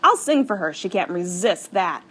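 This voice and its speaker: A woman's voice: medium pitch, expressive, fairly bright tone. Woman putting on a dramatic showy young girl. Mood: playful. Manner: theatrical, drawn-out.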